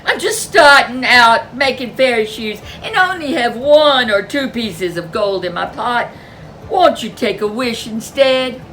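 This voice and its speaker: A woman's voice: fairly high pitch, animated, measured pace, sharp tone, slight background noise, voice pushed up, low-quality recording. A woman voicing a clever little male leprechaun who pleads shrilly to be let go. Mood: pleading.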